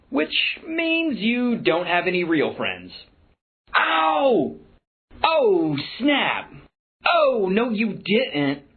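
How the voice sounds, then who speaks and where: A woman's voice: medium pitch, slightly rough, heavily acted. A woman playing a sarcastic, theatrical game announcer who taunts the player in comedic voice clips. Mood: exaggerated shock. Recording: tinny phone-like lo-fi game audio.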